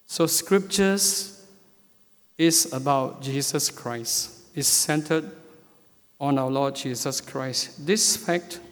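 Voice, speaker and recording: Church elder, male, preaching a sermon; slow and measured delivery, reverberant sanctuary. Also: medium pitch. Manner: slow, measured, with frequent hesitations. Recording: long hall reverb.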